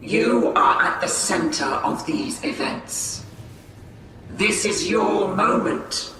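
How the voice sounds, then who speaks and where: A man's voice: sits high, expressive, slow, pushed and bright, very echoey, far from the mic, slight background noise, exaggerated voice. An actor playing a mysterious, sly male seer in a game cutscene, speaking in a mocking, cryptic way. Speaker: game character.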